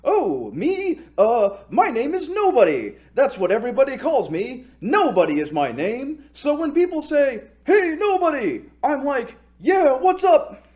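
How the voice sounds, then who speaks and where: A man's voice: extremely high pitch, big pitch swings, darkish tone, voice pushed up, full character voice. A man voicing a wily, chatty hero who feigns meek fright and innocence in a comic story skit. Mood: falsely innocent. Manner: halting, mock-innocent, exaggerated politeness.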